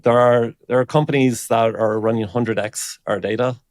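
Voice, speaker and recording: A man giving an informal technical explanation as an interview guest, thinking aloud in a halting way. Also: medium pitch, steady tone, darkish tone, dry recording, clean studio sound. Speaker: engineering director, interview guest.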